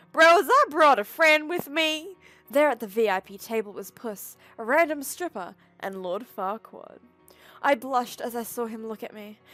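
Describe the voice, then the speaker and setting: A woman giving a mock-dramatic, deadpan comedic reading of a story. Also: medium pitch. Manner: deadpan mock-serious narration. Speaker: reader.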